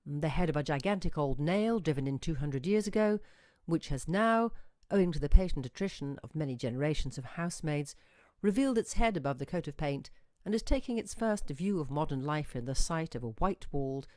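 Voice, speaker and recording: A woman reading a literary short story as a storyteller, with measured, expressive phrasing and deliberate pauses. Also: deep voice, no echo. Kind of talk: dramatic literary narration.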